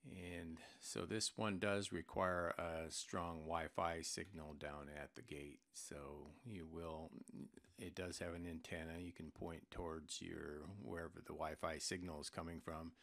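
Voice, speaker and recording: A man giving an informal, unscripted product walkthrough as a seller's presenter, explaining in a halting way with pauses mid-sentence. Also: very deep voice, creaky, a bit bright. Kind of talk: unscripted product walkthrough over screen recording.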